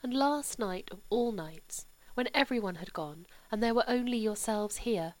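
A woman voicing a gentle, sorrowful aristocratic older mother who speaks softly and slowly. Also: on the high side, animated, dry recording, natural voice, low-quality recording. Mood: tender. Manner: soft, slow and hushed.

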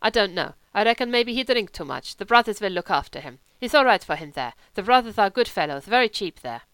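A wolfish, lolling young German man with a slight lisp, tossing out offhand broken English, as a woman puts him on. The voice is clear and smooth. The recording is close and dry. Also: medium pitch, expressive, a little fast, a bit bright, dry recording. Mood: wheedling. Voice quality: lisp from a missing tooth.

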